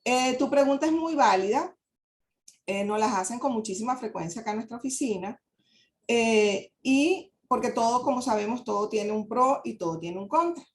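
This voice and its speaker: A woman's voice: on the high side, animated, moderate pace. A woman giving a webinar presentation as an advisor, polite and explanatory, with frequent fillers. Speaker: guest speaker, real estate advisor.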